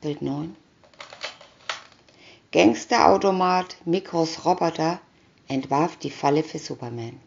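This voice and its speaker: Female storybook narrator: low-pitched, deliberate with pauses between captions, muffled lo-fi recording. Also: gravelly, darkish tone. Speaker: reader of picture-story booklets. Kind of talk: scripted narration of a children's comic story. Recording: dull lo-fi recording.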